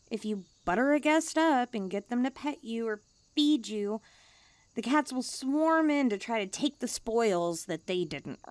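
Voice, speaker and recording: A woman's voice: a little high, dry recording. A woman voicing a cute, self-important young stray catgirl in a languid ASMR roleplay. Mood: haughty. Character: cute, smug, pampered, drowsy young female stray catgirl.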